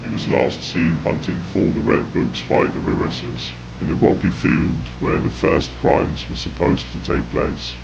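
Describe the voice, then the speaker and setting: A man reading scripted horror narration in a grave, measured way, with a gravelly, whispery voice. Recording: dull, noisy lo-fi audio with rumble.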